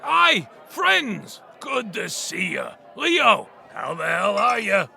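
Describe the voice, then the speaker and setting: A hearty orc storyteller, very gravelly and sharply forceful. He is bombastic and jovial, a showman drawing out his lines in a fantasy audio drama. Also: high voice, wildly swooping pitch, takes it slowly, a faint crowd, full character voice. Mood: jovial, welcoming. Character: boisterous, hearty male orc storyteller and diner keeper.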